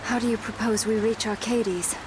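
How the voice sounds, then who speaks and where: An actress playing a proud, resolute young princess in a game cutscene, speaking formally and regally. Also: female voice, a little high, fairly even intonation, moderate pace, noisy background, lightly acted. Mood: solemn resolve. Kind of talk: game cutscene drama acting. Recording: game music behind the voice.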